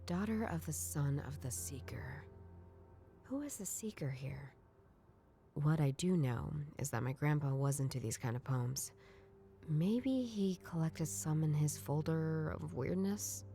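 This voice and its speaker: Young woman detective for a noir game: low, dark tone, dry musing narration, faint music bed. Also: expressive, slow pace, long pauses, full character voice. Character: wry young woman detective chasing grandpa's riddles. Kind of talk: scripted game voice-over, inner monologue.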